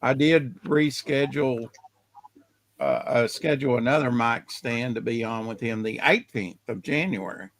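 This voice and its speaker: A male podcast host with a slightly raspy voice, chatting casually in a rambling way, full of fillers and trailing off. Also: medium pitch, a little slow, no echo, rough recording. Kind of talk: casual chat.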